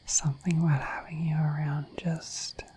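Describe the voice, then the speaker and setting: A woman voicing a shy, affectionate, dorky dragon in a bashful, hesitant breathy whisper for an ASMR roleplay. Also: low voice, level tone, normal speed, dark tone. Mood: flustered, affectionate. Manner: bashful, hesitant, apologetic, rambling.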